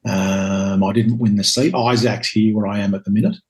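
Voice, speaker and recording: A Scottish man in his fifties, a guest telling his life story in a reflective, hesitant way with many pauses and ums. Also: on the low side, fairly even intonation, darkish tone. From Scotland. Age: 50s.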